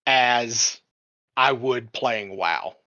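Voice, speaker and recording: A podcast co-host in casual gaming chat, self-deprecating and quick to laugh. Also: mid-range voice, sharp tone, dull audio.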